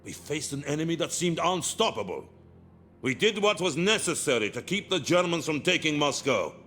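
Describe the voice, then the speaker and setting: A man's voice: fairly high pitch, sing-song, normal speed, fairly bright tone, faint music behind. An actor playing a stern male Soviet commissar who coldly defends sending soldiers to die, in a war game cutscene. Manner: cold, dogmatic, defends sacrifice. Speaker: interrogator.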